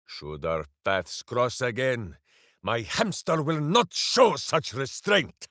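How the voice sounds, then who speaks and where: Boisterous brawny hero, video game character, theatrical, good-hearted, simple, extremely varied intonation, unhurried pace. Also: male voice, medium pitch, huge pitch swings, a bit bright, dry recording, clean studio sound, full character voice. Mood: earnest, hearty. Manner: theatrical, bombastic, declamatory, talks in grand quaint phrases. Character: big brawny good-hearted warrior, boisterous, simple, righteous, devoted to hamster.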